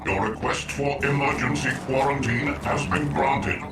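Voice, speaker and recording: An actor playing an officious, threatening checkpoint machine in a video game, announcing in a formal, impersonal way. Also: male voice, mid-range voice, fairly even intonation, a little slow, lightly acted. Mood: cold, commanding. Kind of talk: video game character acting. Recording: music under the voice.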